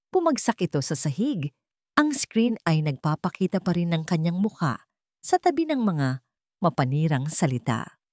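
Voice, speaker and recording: A woman's voice: lowish voice, dark tone, dry recording, clean studio sound. A Filipino woman narrating a dramatic story as a scripted storyteller.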